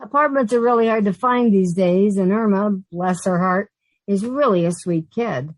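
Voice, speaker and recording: A woman's voice: mid-range voice, normal speed, dry recording, close to an everyday voice, low-quality recording. A woman playing a wry, long-suffering young city woman who narrates and wisecracks sardonically in a comic old-time radio play. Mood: resigned, fond.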